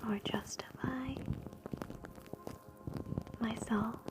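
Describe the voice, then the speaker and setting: Slow soft-whispering woman, close-miked. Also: on the high side, steady tone, loud music behind the voice. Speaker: ASMR host. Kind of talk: whispered ASMR affirmations. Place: home, indoors.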